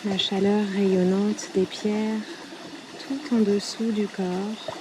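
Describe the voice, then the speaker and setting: Woman, guided meditation, very slow soothing delivery, flat even pitch, outdoors by running water. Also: noisy background. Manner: unhurried, soothing, long pauses between short phrases. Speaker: yoga nidra guide. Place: outdoors at a riverbank. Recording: outdoor recording with loud running river water. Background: flowing, trickling stream water.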